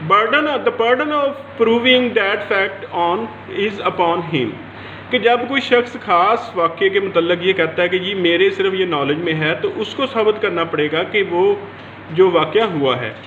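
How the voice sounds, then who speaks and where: A man's voice: sits high, slow, a bit bright. A man from Pakistan with a Pakistani accent giving an explanatory law lecture, restating his points in a didactic way and mixing in English legal terms. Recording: roomy echo, dull lo-fi sound, faint noise.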